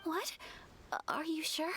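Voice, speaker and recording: A woman's voice: extremely high pitch, fairly even intonation, unhurried, sharp tone, a little hiss or hum, heavily acted. A woman playing a refined, polite and earnest young noblewoman in a video game. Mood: delighted, polite. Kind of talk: English video game voice acting. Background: game music.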